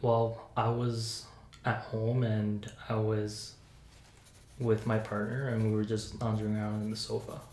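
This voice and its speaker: A soft-spoken young adult playing a patient in an ASMR roleplay, giving hesitant, understated answers. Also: mid-range voice, little pitch movement, unhurried. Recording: echoey room, faint hiss.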